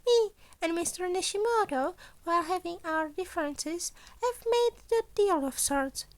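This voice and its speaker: A woman voicing a small male mammal school principal, formal, deliberate and calculating, in an audio story. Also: very high-pitched, animated, pitched above the performer's own voice. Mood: sly, formal.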